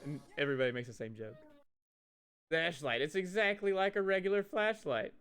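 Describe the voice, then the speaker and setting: A man's voice: fairly high pitch, fairly even intonation, a little fast, a bit bright, smooth. A man doing casual, profane playthrough commentary on a game, reading dialogue aloud theatrically with asides of his own. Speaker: streamer reading a visual novel aloud. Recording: music behind the voice.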